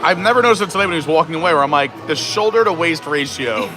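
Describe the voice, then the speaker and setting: A man with a slightly high voice hosts a live stream, trading quips in easy, joking chat. He is recorded in an indoor restaurant with music playing behind him. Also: animated, fast talker. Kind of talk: casual chat over a meal. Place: indoor theme-park restaurant. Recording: background music.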